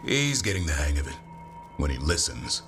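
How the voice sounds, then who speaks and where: An actor playing an earnest, measured warrior who looks after griffins in a video game. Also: male voice, a bass voice, very animated, music in the background. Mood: proud, assured. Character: grizzled, earnest adult male griffin-keeping warrior. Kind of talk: video game dialogue acting.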